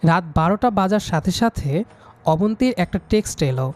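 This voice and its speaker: A man's voice: high voice, animated, moderate pace. A man narrating a scripted first-person story as the storyteller. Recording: music behind the voice.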